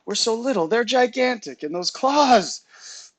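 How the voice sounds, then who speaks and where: Man as a little boy bear cub, raspy, high, anxious, storybook character. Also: animated, fairly quick, a bit bright, dry recording. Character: tiny anxious young bear cub, male.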